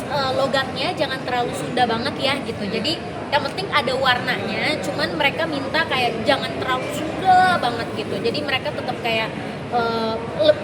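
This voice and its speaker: A woman, an actress, chatting in a lively, animated way with lots of fillers in a doorstop press interview. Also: high voice. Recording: loud crowd and street noise.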